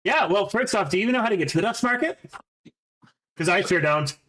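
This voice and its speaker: High-voiced man running a tabletop game. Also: lively intonation, a bit bright. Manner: casual, halting, with fillers. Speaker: game master.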